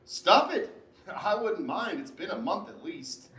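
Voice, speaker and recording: A man's voice: sits high, big pitch swings, a little slow. A man acting a needling, self-absorbed husband in a naturalistic relationship drama, with emphatic delivery. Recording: echoey, distant mic, background noise.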